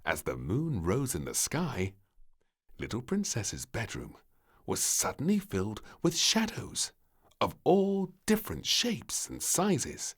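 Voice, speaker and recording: A male storybook narrator reading for children. He takes his time, telling the story dramatically with huge swings of pitch. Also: huge pitch swings, slow pace, slightly rough.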